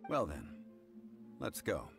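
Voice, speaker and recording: Dignified scholarly gentleman, very deep voice, formal, unhurried, anime game, music behind. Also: wildly swooping pitch, vocal fry, heavily acted. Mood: composed, formal. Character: calm, dignified, scholarly male consultant and negotiator.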